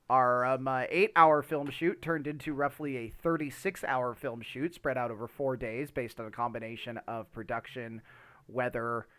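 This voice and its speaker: A man's voice: mid-range voice, a bit bright. A man co-hosting a gaming podcast, chatting casually in a wordy, rambling way with wry, colloquial asides. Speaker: podcast co-host and game streamer.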